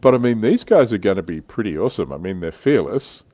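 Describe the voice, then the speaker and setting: A man's voice: lowish voice, sing-song, fast talker, dark tone, no room sound. A man hosting a livestream with casual chat about tabletop wargaming. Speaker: livestream host.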